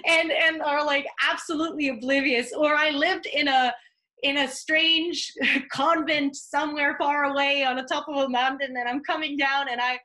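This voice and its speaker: A woman with a Serbian accent talks over a webcam from a small home office. Her voice is bright and a little high. She pauses often, with many fillers and restarts. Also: fairly even intonation. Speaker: art commissioner. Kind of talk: video call interview. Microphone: video call webcam.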